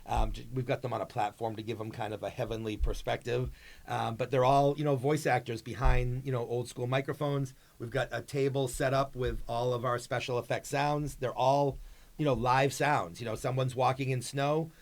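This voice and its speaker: A man's voice: medium pitch, fairly even intonation, moderate pace. A man speaking as a school drama advisor in an interview, explaining things casually and conversationally. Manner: conversational, peppered with "you know".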